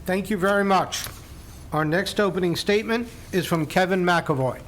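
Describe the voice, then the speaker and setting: A moderator with a fairly high voice introduces each speaker in a brisk, polite way. He sounds set back from the microphone, and a faint hiss runs behind him. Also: fairly bright tone. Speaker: debate moderator. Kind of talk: brief introductions.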